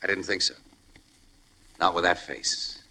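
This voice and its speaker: An actor playing a guarded, terse, hard-boiled ex-convict who is tough but polite, in 1940s radio style. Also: male voice, low voice, measured pace, bright, forceful tone, full character voice. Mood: dry, direct. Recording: very noisy old-time radio recording.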